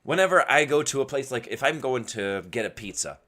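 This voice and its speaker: A man hosting a casual, opinionated solo podcast in an emphatic way, asking himself rhetorical questions, his voice strained and partly lost from yelling. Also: medium pitch, lively intonation, fairly quick. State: losing his voice. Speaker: podcast host.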